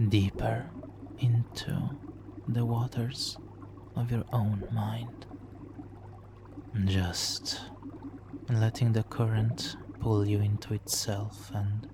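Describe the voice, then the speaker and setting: A man playing a smooth-talking supervillain nemesis in an ASMR roleplay, hushed, soothing and coaxing as he hypnotically seduces a captive hero. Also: lowish voice, noisy background. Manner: slow, hushed, soothing, coaxing with soft chuckles. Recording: water trickling behind the voice.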